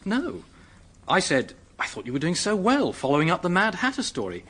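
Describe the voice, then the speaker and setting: An actor playing an earnest, polite young British secretary who is anxious and hesitant, in a radio drama. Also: male voice, high-pitched, wildly swooping pitch, moderate pace, fairly bright tone, slightly rough, exaggerated voice. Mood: puzzled, narrating. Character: earnest, flustered young English male secretary, helpful and anxious.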